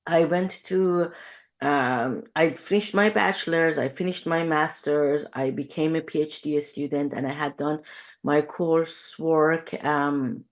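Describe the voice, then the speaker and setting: A woman in her fifties, from Iran, talks as a podcast guest in a deep, low voice. She is reflective and measured, often pausing with ums as she gathers her thoughts. The recording sounds slightly dull and lo-fi. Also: fairly even intonation. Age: 50s. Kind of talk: conversational interview.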